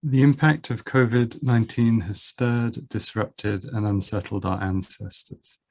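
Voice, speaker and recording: A man's voice: lowish voice, fairly even intonation, unhurried, vocal fry, a little mellow. A man reading a report aloud as part of a group reading on a video call.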